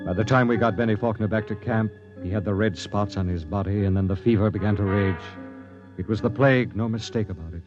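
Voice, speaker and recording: Actor as grave, low-voiced spaceship captain, muffled vintage radio. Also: male voice, over music, lightly acted. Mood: grim narration. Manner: measured, authoritative, grave. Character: steady, grave male expedition captain and narrator in space. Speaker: narrator and lead actor. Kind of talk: 1950s radio drama acting and narration. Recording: dull old radio broadcast.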